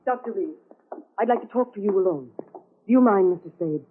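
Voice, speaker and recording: An actress playing an earnest, anxious, self-reproaching young woman in 1940s radio drama, husky and hesitant. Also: medium pitch, lively intonation, a little fast, narrow, tinny audio, a little hiss or hum, lightly acted. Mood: urgent. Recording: band-limited old radio recording.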